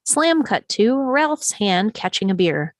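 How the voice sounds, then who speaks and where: A woman's voice: medium pitch, very animated, takes it slowly, no room sound. A woman reading stage directions as scripted narration for a screenplay table read, close to the microphone.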